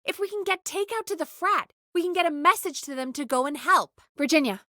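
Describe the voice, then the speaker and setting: A woman's voice: very high-pitched, brisk, no room sound, clean studio sound, full character voice. A woman voice actor playing an earnest, dedicated radio producer who gets exasperated easily, in natural video game dialogue. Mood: focused, urgent. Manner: earnest, expressive, exasperated at times.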